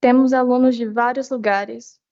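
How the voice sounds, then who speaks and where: A woman's voice: fairly high pitch, steady tone, brisk, mellow. A teenage girl, a native Portuguese speaker, giving testimony as a student in short phrases. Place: committee hearing. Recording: dull, muffled audio.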